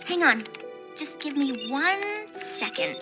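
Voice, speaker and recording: A woman playing an earnest, gentle young genius girl in a video game, speaking softly and sincerely. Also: sits high, expressive, slow pace. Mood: distracted, flustered. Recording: music playing behind.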